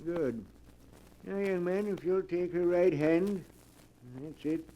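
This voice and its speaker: An actor playing a genial, folksy, obliging judge, in 1940s radio drama style. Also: male voice, sits high, slow, mellow. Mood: genial, businesslike. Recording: faintly noisy old radio recording.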